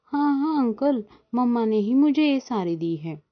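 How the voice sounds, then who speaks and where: A woman's voice: on the high side, lively intonation, unhurried, voice pushed up. A woman voicing a cheeky, wheedling little boy bird in a children's cartoon, childlike and pleading. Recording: dull lo-fi sound.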